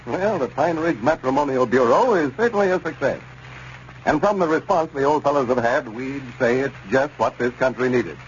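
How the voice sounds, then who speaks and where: Male American vintage announcer, polished sponsor read, very lively intonation, muffled old broadcast sound. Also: medium pitch, normal speed. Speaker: radio announcer. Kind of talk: 1930s radio scripted announcing and advertising. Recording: muffled, noisy 1930s radio recording.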